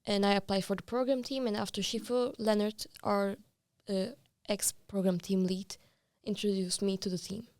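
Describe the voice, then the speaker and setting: Brief, halting young woman from Turkey. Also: mid-range voice, steady tone, darkish tone, no room sound, clean studio sound. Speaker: guest, team lead. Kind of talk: casual interview chat.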